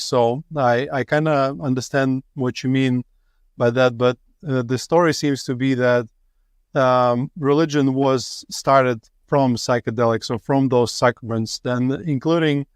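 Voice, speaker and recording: Clear-voiced man. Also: medium pitch, dry recording, studio quality. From Latvia. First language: Russian. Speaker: podcast host. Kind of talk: conversational interview.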